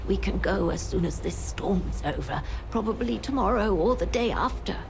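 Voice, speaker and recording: A woman's voice: medium pitch, lively intonation, normal speed, vocal fry, background noise. An actress voicing a frank, determined woman in a video game. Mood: reassuring.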